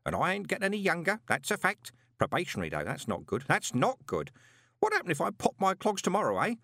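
Casting: anxious old career postman, high voice, wildly animated grumbling, storybook comedy. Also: quick pace, no room sound, studio quality, full character voice. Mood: aggrieved, anxious. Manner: muttering, rambling, uneducated colloquial speech, mock-solemn.

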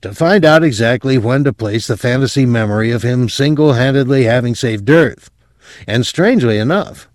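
Man acting an anxious, higher-pitched lab technician. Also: moderate pace, a little mellow, no echo, clean studio sound, lightly acted. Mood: uneasy. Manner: hesitant, worried. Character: anxious apologetic male lab technician calling his boss.

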